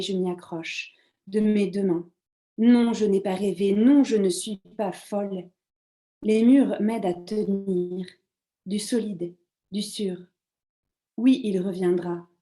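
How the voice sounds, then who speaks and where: A woman whose first language is French, reading her poetry slowly over a video call. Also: medium pitch, mellow. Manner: slow, measured recitation. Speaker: poet.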